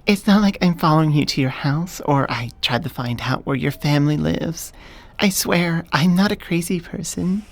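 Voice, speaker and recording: A woman playing a smitten, businesslike elf manager in a soft-spoken ASMR roleplay, earnest and deliberate. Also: low-pitched. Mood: hesitant, earnest. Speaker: voice actor.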